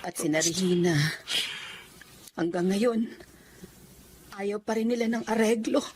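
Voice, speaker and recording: A woman's voice: mid-range voice, slow pace, darkish tone, a little hiss or hum, close to an everyday voice. A woman playing a worried, devoted wife in a Filipino radio drama, speaking tenderly. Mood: concerned. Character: concerned, devoted wife visiting fugitive husband.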